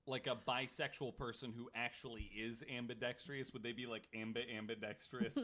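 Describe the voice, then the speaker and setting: A man co-hosting a casual podcast, joking and excitable, often tripping over his words into tangents. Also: medium pitch, moderate pace. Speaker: podcast co-host. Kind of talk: casual banter.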